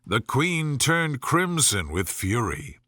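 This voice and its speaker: Slow, expressive male storybook narrator. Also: mid-range voice, no echo, clean studio sound. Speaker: audiobook narrator. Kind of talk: scripted bedtime story narration.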